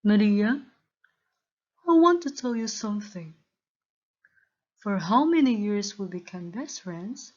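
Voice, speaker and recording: Woman voicing a nervous lovesick young man, slow-spoken. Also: mid-range voice, animated, darkish tone. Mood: earnest, hesitant. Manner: earnest, hesitant, halting. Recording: dull, lo-fi voice recording.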